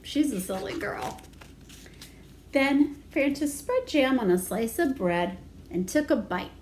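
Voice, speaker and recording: Female children's storytime reader: high voice, singsong teaching delivery with chatty asides, faintly noisy recording. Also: expressive, slow, darkish tone. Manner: singsong, addressing young children. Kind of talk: children's read-aloud with simple asides.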